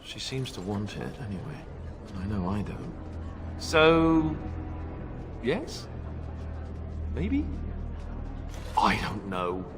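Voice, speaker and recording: An actor playing a reluctant young warrior newly made king in a video game, halting, earnest and self-deprecating. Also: fairly low pitch, wildly swooping pitch, music in the background, exaggerated voice. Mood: uneasy, hesitant. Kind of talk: video game cutscene acting.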